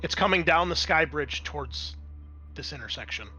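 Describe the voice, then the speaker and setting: A man's voice: a little high, animated, normal speed, pushed and bright, husky, soft background music, poor audio. A man running a tabletop roleplaying game as game master, improvising scene narration and explaining dice rolls.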